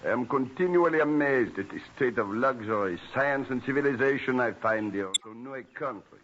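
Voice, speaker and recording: An actor playing a refined, polite, quizzical French diplomat, with formal, hesitant phrasing, in a radio drama. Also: male voice, mid-range voice, fairly quick, fairly bright tone, lightly acted. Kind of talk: old-time radio drama acting. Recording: muffled old radio recording with hiss.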